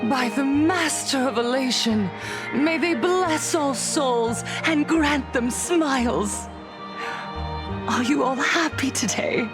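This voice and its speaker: A woman playing a saintly, sorrowful cult leader in a video game, solemn and tender with dramatic pauses. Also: high voice, music in the background. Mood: benevolent. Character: saintly, sorrowful female cult leader addressing her followers. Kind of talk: scripted video game speech to a crowd.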